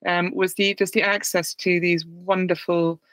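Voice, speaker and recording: A woman's voice: fairly low pitch, level tone, normal speed, fairly bright tone, no echo. A British woman in her thirties, a guest on an interview show calling in, talking candidly and chattily with a self-deprecating touch. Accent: British English. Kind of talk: casual interview. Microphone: remote call.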